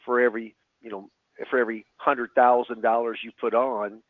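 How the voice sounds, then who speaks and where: A man who works as a financial advisor, speaking as a webinar guest, answering questions with a halting delivery full of repeated words. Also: mid-range voice, level tone, no room sound. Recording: tinny phone line.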